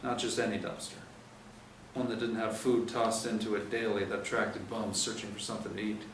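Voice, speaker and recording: A man's voice: fairly low pitch, flat delivery, brisk. A man reading first-person crime fiction aloud in a hard-boiled, dramatic way with emphatic swings. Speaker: reader of a novel chapter. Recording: roomy, distant mic, faint noise.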